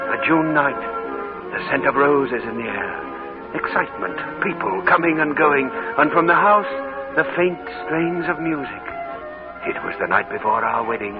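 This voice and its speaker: Man playing a wistful old gentleman, lively voice. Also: medium pitch, close to an everyday voice. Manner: wistful, affectionate, well-spoken. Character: old gentleman recalling lost love. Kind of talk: 1940s radio acting. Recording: muffled 1940s radio broadcast.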